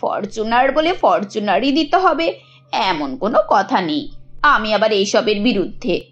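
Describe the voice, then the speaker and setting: A woman's voice: medium pitch, big pitch swings, unhurried. A woman voicing a middle-aged cartoon mother-in-law who is greedy, insinuating and mock-modest. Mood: slyly hinting. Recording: dull lo-fi audio with music.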